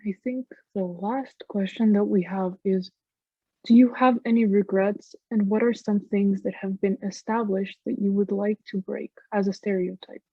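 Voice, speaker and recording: A woman interviewer reading out questions in a plain, measured way. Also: medium pitch, fairly even intonation, no echo. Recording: dull, dry sound.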